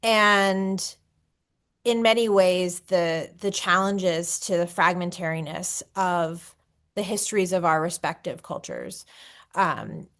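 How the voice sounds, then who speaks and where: A woman speaking as a scholar on an academic panel, earnest and halting as she rephrases her thoughts aloud. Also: on the low side, a bit bright. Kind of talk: unscripted academic discussion.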